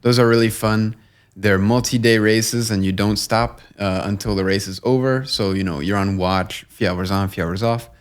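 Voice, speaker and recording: A young man from Long Island, guest on an interview show, talking in a measured, earnest way with fillers and restarts. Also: lowish voice, steady tone, smooth. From Long Island, New York. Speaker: guest, nonprofit co-founder. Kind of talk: conversational interview.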